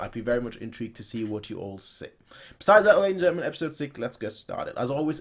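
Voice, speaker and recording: A man in his twenties giving casual, chatty reaction commentary, often rambling and repeating his phrases. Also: medium pitch. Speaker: reaction video host. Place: small bedroom, indoors.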